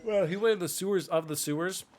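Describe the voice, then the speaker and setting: A man's voice: sits high, fast talker, darkish tone, slight background noise. A man in his twenties giving casual, slangy live game commentary in a reactive, jokey manner. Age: 20s. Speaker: game streamer. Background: faint game music.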